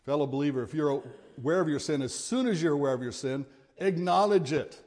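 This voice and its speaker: A middle-aged man with a big voice preaching a sermon, earnest and at times forceful in his exhortations. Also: a little high, lively intonation, fairly quick.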